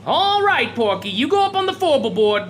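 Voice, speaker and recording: An actress playing a brash, impatient oil-drilling crew boss in blunt, slangy old-time radio style. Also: female voice, high voice, big pitch swings, brisk, a little hiss or hum. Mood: impatient, bossy.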